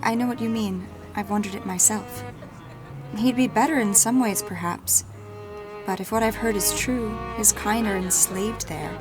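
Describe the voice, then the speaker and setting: Actress as genteel fantasy lady, mid-pitched, gentle and considered, music clearly underneath. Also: normal speed, lightly acted. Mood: tender, wistful. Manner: polite, genteel, thoughtful. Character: gentle, well-spoken woman fond of a foundling. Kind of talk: fantasy audio drama acting.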